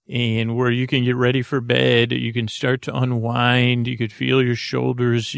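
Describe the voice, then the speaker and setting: A man's voice: medium pitch, creaky, no echo, clean studio sound. A man hosting a sleep podcast, talking in a meandering, self-interrupting way with wry asides. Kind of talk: rambling bedtime podcast intro.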